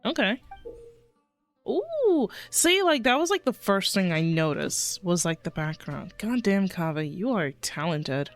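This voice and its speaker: A woman streamer giving a casual, expressive live reaction to a game. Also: medium pitch, measured pace. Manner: expressive, giggly, murmured interjections. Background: game music.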